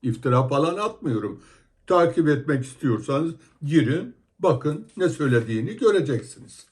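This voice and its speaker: A man's voice: fairly high pitch, expressive, moderate pace. A man giving heated commentary that criticizes religious videos, scolding and asking rhetorical questions.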